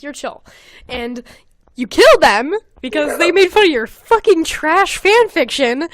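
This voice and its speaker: A woman's voice: high-pitched, dry recording. A young adult woman hosting a podcast, casually reading old fan fiction aloud with giggly, sweary comic asides. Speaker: podcast host.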